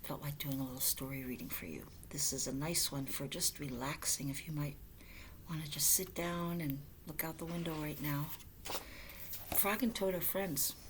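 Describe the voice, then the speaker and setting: A woman reading a children's story aloud in a gentle storytelling style. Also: sits low, steady tone, normal speed, a little hiss or hum. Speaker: storybook reader.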